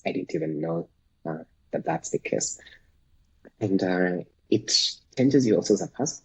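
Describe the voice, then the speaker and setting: A man from Kenya, Luo his first language, talks in a low, very raspy voice. He reflects thoughtfully, stopping and restarting with fillers. The sound is dry and close, with no room around it. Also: dark tone, dry recording, poor audio. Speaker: guest, startup founder. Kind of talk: reflective podcast interview.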